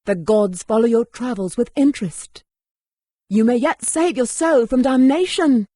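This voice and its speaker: A woman's voice: on the high side, measured pace, warm tone, no echo, clean studio sound. A woman voicing a serene, pious priestess in a fantasy video game, preaching solemnly.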